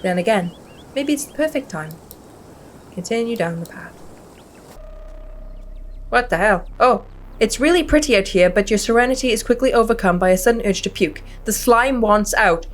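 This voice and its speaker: A woman hosting a gaming video, reading the story aloud and commenting in a casual, expressive manner. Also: on the high side, normal speed, pauses often. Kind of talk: playthrough commentary and read-aloud narration. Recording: music behind the voice. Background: music.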